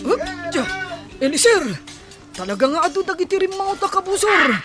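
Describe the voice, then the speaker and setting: High-voiced, urgent loyal warrior. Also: female voice, animated, normal speed, music in the background. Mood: alert. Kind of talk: Ilocano radio drama acting.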